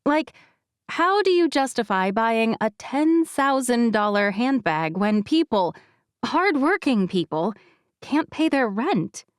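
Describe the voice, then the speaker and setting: A woman's voice: fairly high pitch, big pitch swings, slow, smooth, no echo, studio quality, voice pushed up. A woman playing a wry, self-mocking young woman.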